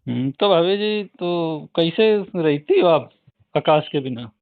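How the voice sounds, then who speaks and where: A man's voice: on the high side, animated, slow, clean tone, dry recording. A young man, chatting casually as a private tutor over the phone. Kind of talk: casual chat. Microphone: phone call. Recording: dry, slightly tinny phone call recording.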